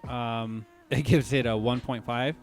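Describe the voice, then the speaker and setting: A man's voice: mid-range voice, fairly even intonation, a little slow. A man in his late thirties with an American accent, hosting a podcast in casual, slangy chat. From California, United States. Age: late 30s. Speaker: podcast host.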